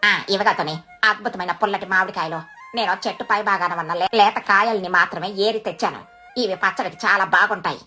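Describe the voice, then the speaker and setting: A woman's voice: mid-range voice, quick pace, bright, forceful tone, faint music behind, close to an everyday voice. A woman voicing an eager, confident, helpful female bird in a Telugu children's cartoon, speaking emphatically. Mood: proud.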